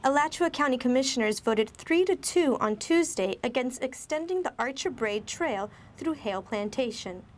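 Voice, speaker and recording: A woman reading scripted television news reports as a reporter, with crisp broadcast enunciation. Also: fairly high pitch, very noisy.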